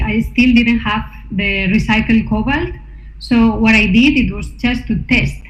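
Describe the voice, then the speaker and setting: Hesitant woman presenting in an echoey room. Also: fairly high pitch. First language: not Norwegian. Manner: hesitant, many fillers and restarts. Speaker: artist presenting research. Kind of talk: slide presentation talk. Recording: echoey room, dull sound, faint noise.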